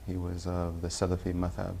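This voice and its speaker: A young man from Tennessee, telling his personal story in a halting, unhurried way with many restarts. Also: low-pitched, completely flat delivery, vocal fry, dark tone, slight background noise. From Tennessee, USA. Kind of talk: personal testimony talk.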